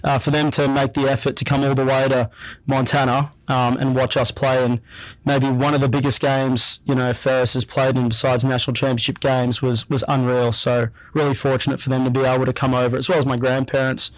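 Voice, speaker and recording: Young Australian guy as a relaxed radio guest, average pitch, close and dry. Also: fairly quick, no room sound, poor audio. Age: young adult. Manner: relaxed, easygoing, repeats himself with frequent fillers. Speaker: guest athlete. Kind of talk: casual radio interview.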